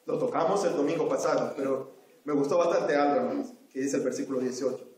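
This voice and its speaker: A man's voice: mid-range voice, quick pace, a little mellow, a little hiss or hum. A man from El Salvador with a Salvadoran Spanish accent, teaching an earnest Sunday school lesson and repeating himself as he addresses his brothers. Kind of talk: sermon. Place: church hall. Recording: very echoey hall, voice from far off.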